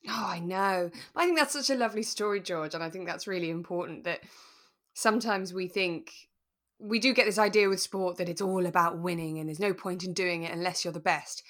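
A woman's voice: fairly low pitch, brisk, fairly bright tone. A woman co-hosting a podcast, chatting casually and sympathetically about a radio drama in a reflective way. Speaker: podcast co-host.

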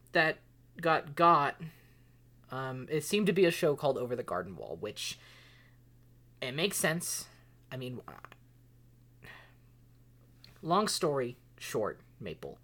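A woman acting an exasperated, volatile character in an audio drama, pleading in halting, stammering, emphatic speech. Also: low-pitched, a bit bright, exaggerated voice. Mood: tense, explaining.

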